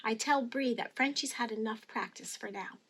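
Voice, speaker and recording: A woman's voice: on the high side, moderate pace, a bit bright, husky. A woman reading a picture book aloud expressively for young children, with careful, teacherly phrasing. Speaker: storybook reader.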